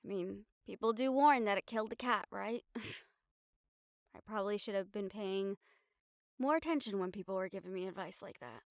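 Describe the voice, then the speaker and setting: A woman's voice: medium pitch, normal speed, dry recording, clean studio sound, lightly acted. A woman playing a wry, daring young reporter in an intimate audio roleplay, talking to her partner in a sardonic, sighing, casually sweary way. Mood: rueful, reflective. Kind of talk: intimate audio roleplay acting, conversational monologue to the listener.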